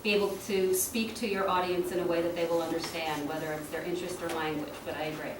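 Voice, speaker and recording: A woman town official speaking earnestly on a panel, halting with many fillers. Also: on the low side, level tone. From Puerto Rican heritage. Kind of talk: panel discussion. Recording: echoey room, distant mic, noisy.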